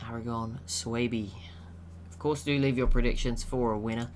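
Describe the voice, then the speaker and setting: A young man giving relaxed, chatty commentary over a strategy game he is watching, laughing to himself now and then. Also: medium pitch, normal speed, slight background noise. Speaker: gaming channel host.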